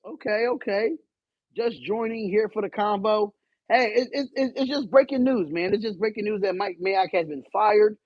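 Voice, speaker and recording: A man hosting a live stream, loud and animated, swearing and arguing back at his chat. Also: high voice, moderate pace, no echo, dull audio. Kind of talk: casual live stream rant.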